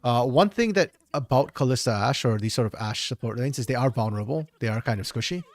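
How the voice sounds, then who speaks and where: Slightly hoarse man analyzing a game. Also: medium pitch, animated, fast. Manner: thinks aloud, restarts mid-sentence. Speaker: esports caster doing a solo VOD review. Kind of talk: casual solo game analysis commentary.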